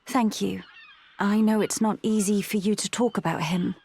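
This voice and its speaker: A woman's voice: mid-range voice, fairly even intonation, moderate pace, creaky, darkish tone, slight background noise, natural voice. A woman voicing a dignified, solemn young noblewoman in a video game, formal and composed. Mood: gentle, composed. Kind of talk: dramatic video game voice acting.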